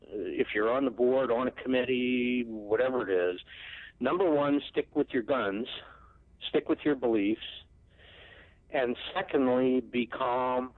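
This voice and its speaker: Male radio host, very slow and reflective, with a tinny, phone-like sound. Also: mid-range voice, dry recording. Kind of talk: radio talk show interview.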